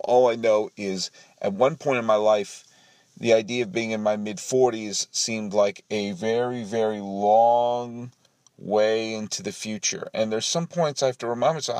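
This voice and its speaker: A man's voice: medium pitch, dry recording, clean studio sound. A man in his forties hosting a solo podcast, chatty and rambling with lots of self-deprecating asides. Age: 40s. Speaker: podcast host. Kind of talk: casual solo podcast monologue. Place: home studio.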